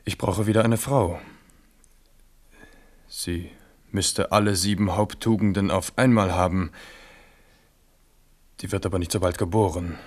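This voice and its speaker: A man's voice: low-pitched, fairly even intonation, measured pace, pauses often. An actor playing a headstrong, passionate king in a radio drama, with a commanding and impulsive delivery. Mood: confiding.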